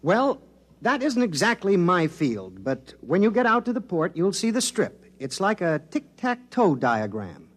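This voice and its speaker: An affable male scientist in a 1950s sci-fi radio play. He explains conversationally, a little evasive, in a somewhat high voice with very lively melody. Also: a little slow, heavily acted. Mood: explaining. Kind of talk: 1950s radio drama acting.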